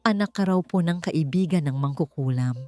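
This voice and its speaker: Hurt young Filipino child played by a female narrator, pleading, mellow tone, audiobook drama with music. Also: low voice, a little fast, dry recording, lightly acted. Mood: tearful pleading.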